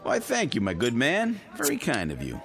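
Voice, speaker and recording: An actor playing a wisecracking test pilot with an uneasy streak in a radio drama, colloquial in delivery. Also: male voice, medium pitch, huge pitch swings, normal speed, creaky, fairly bright tone, soft background music, exaggerated voice. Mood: breezy.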